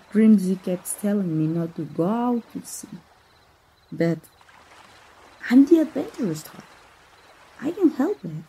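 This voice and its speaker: Woman acting a breathy, unhurried young princess. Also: mid-range voice, heavily acted, low-quality recording. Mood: playful, teasing. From Mexico. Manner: soft, hesitant, tender, intimate delivery. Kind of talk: romantic ASMR roleplay, soft intimate acting.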